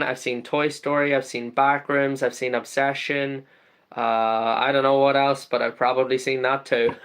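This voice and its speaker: A man's voice: medium pitch, a bit bright, clean tone. A man from the UK chats casually on his live stream in an ordinary mid-range voice. He reads out viewer comments and greets people by name. He rambles a little, with plenty of ums and uhs.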